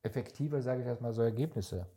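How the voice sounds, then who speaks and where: Earnest man from Israel, halting German. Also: mid-range voice, warm tone. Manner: searching for words, non-native grammar, earnest and emphatic. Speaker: podcast co-host, investor. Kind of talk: casual podcast conversation.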